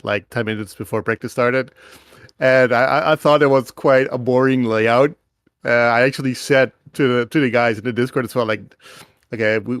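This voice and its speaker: A man's voice: mid-range voice, no room sound, clean studio sound. A man who speaks English as a second language, answering a post-race interview as a guest driver. He often hesitates and searches for words. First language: not English.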